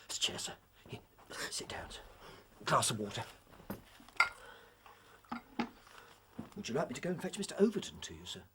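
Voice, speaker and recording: An actor playing a deferential, helpful working-class English hotel porter in an audio drama, speaking in a homely, colloquial way. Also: male voice, on the high side, big pitch swings, quick pace, background noise. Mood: concerned.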